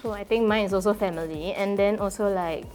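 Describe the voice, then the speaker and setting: A woman's voice: mid-range voice, fairly quick, faint music behind. A young woman in her twenties, a student, speaking casually and somewhat hesitantly as a guest on a discussion show. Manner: soft-spoken, hesitant, filler-heavy. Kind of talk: casual conversation.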